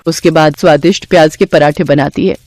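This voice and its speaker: A woman's voice: low voice, steady tone, brisk, a little mellow, slight background noise. A woman reading a scripted moral story as its narrator.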